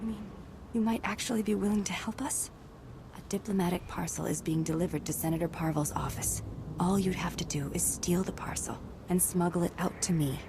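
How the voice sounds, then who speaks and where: A woman's voice: on the low side, brisk, noisy background, lightly acted. A woman playing an earnest, secretive ex-military diplomat and zealous patriot in a video game, speaking persuasively and solemnly. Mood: pleading, secretive. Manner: persuasive, solemn, hushed at times.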